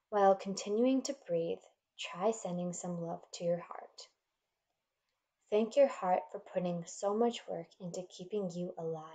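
A woman leading a guided meditation in a gentle, soothing, measured manner, leaving pauses between instructions. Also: mid-range voice.

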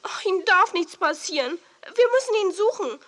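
A woman playing an excitable, tender-hearted girl who is anxious about dogs in a children's audio drama. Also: very high voice, normal speed.

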